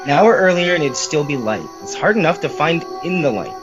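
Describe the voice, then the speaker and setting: A man's voice: a little high, expressive, brisk, muffled recording, music in the background, lightly acted. A man playing a grumbling, teasing brother in an audio drama, sarcastic and irreverent. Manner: sarcastic, complaining.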